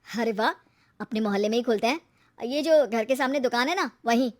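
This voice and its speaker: An earnest young cartoon husband, played by a woman, has a fairly high voice. He is a small shopkeeper and family man who gets exasperated easily. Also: fairly quick, natural voice. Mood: eager.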